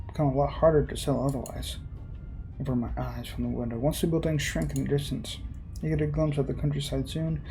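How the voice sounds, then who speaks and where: A person reading visual novel narration aloud, breaking off for informal, halting commentary. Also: medium pitch, warm tone, slight background noise, poor audio.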